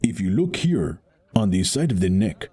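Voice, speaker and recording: An actor playing a noble, solemn masked wrestling champion in an audio drama, speaking with formal, grandiose earnestness. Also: male voice, on the low side, sing-song, moderate pace, dark tone, breathy edge. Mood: solemn, investigative.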